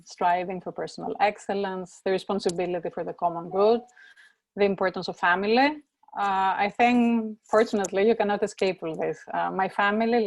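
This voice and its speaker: A woman's voice: medium pitch, gravelly, a little mellow, no room sound. A middle-aged woman from Greece, speaking thoughtfully as an interview guest over a video call, with frequent fillers and repeated words.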